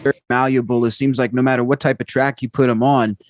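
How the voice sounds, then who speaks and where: A man's voice: medium pitch, level tone, fast talker. A man hosting a casual interview over a video call, easygoing and affirming his guest often. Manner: easygoing, affirming with "gotcha" and "right". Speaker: podcast host.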